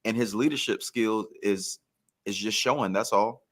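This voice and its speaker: A man's voice: on the low side, normal speed. A man in casual sports talk, telling stories like a former player and repeating phrases for emphasis. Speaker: former pro football player, guest storyteller.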